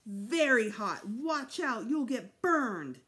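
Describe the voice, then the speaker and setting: A woman's voice: on the high side, wildly swooping pitch, unhurried, fairly bright tone, voice pushed up, heavily acted. A woman voicing a small, stubborn, playful and jealous boy in a children's audiobook, with an insistent sing-song, childish delivery. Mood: gleeful, sing-song.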